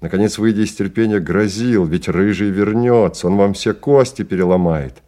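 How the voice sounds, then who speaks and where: A man narrating a literary radio drama in a measured storytelling manner. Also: lowish voice, mellow, close to an everyday voice.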